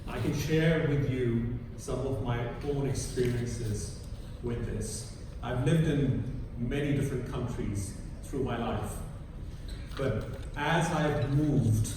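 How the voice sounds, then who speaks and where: A middle-aged man from Kenya giving a prepared talk to an audience, halting with frequent restarts and fillers. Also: mid-range voice. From born in India, grew up in Kenya. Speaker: conference speaker. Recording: echoey hall from far off with background noise.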